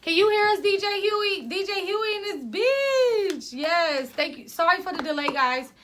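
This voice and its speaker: A woman from Ohio co-hosting a casual podcast, loud and rambling. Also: very high-pitched, lively intonation, normal speed. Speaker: podcast co-host.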